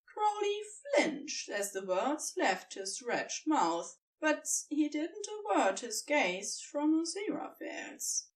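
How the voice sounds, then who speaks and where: A woman narrating scripted fan fiction as an expressive storyteller, recorded slightly away from the microphone. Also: high-pitched, takes it slowly, hardly any pauses, distant mic.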